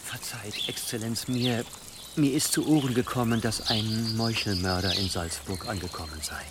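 Male actor as cathedral provost, lowish pitch, secretive, deferential, anxious, radio drama, very noisy background. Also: normal speed, natural voice. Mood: anxious, urgent. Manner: humble, hesitant, deferential. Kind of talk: German radio drama acting.

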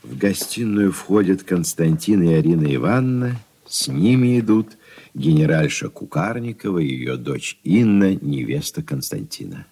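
A man's voice: low-pitched, lively intonation, normal speed, warm tone, slightly rough, heavily acted. A man narrating stage directions soberly in a scripted radio play.

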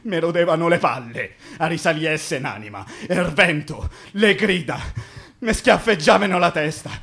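The ghost of a Roman labourer killed on site, in a fairly high male voice. He rambles in thick street dialect, bitter and tender at once. Phrases return like an incantation, in the manner of a theatrical radio play. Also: normal speed, creaky, fairly bright tone. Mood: anguished, lyrical. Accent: Roman Italian. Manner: rambling, colloquial, incantatory repetition. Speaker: stage actor.

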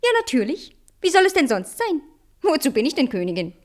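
A woman's voice: sits high, sing-song, brisk. A woman playing a vain, bossy and dreamy young queen in a theatrical fairy-tale radio play. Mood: haughty, indignant. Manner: haughty, imperious, dramatic.

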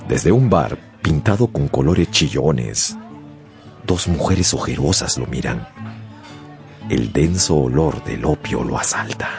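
A man narrating a radio novel dramatically, with deliberate, suspenseful phrasing. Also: very deep voice, gravelly.

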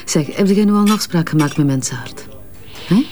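A woman's voice: on the low side, lively intonation, normal speed, a little mellow, faint music behind, close to an everyday voice. A woman with a Flemish accent acting a tense, weary businesswoman in a radio drama. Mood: stressed, defensive. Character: tense, weary businesswoman shaken by a colleague's death.

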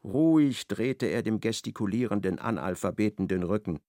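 A man giving measured, ironic literary narration in a radio play. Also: lowish voice, lively intonation, mellow, no room sound, clean studio sound.

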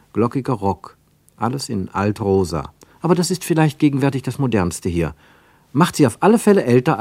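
An actor playing the wry, observant narrator of a literary radio drama, with measured, pointed phrasing. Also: male voice, medium pitch, a little mellow, dry recording. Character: wry, observant male narrator of a travel story.

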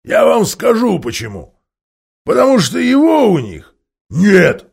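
A man voicing a rough, jeering dwarf leader in an audiobook, shouting loudly. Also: sits high, huge pitch swings, measured pace, pitched above the performer's own voice, full character voice.

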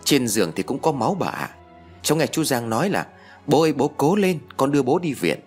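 A younger village man from an audio story, voiced by a male narrator, earnest and worried. He explains things in a plain, hurried way. His intonation moves a lot, and music plays faintly underneath. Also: mid-range voice, sing-song, husky. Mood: concerned, explaining.

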